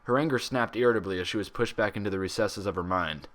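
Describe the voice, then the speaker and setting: Expressive low-voiced man narrating a story. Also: fast. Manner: expressive, dramatic. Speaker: fan fiction reader, narrator. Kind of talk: dramatic scripted story narration.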